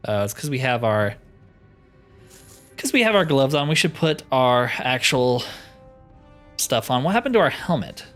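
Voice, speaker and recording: Man, mid-pitched, casual let's play host who thinks aloud and questions himself, music underneath. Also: sing-song, normal speed, pauses often. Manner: musing, talks to himself in questions. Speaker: host of a gaming roleplay series. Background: music behind the voice.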